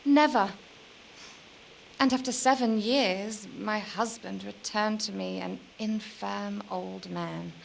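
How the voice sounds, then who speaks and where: Sad medieval lady for a game, creaky voice, measured and wistful, bit of hiss. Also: medium pitch, low-quality recording. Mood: bitter, resigned. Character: weary, lonely medieval noblewoman, still young, gently bitter. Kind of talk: dramatic video game dialogue.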